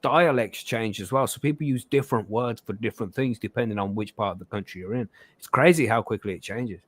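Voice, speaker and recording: A man's voice: on the low side, brisk, no room sound. A man from England with a slight Brummie accent, chatting casually as a guest, laughing easily and poking fun at himself. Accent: Brummie, West Midlands English. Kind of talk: casual chat.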